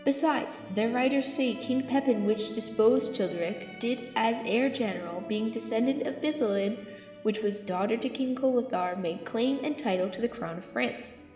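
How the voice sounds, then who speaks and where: A woman's voice: fairly high pitch, moderate pace, a little mellow, close to an everyday voice. A woman playing a learned archbishop in echoing hall. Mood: earnest, lecturing. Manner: formal, declamatory, expository. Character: learned, earnest archbishop counselling a king. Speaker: actor in an amateur audio drama. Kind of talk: Shakespearean verse acting. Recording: echoey hall, music behind.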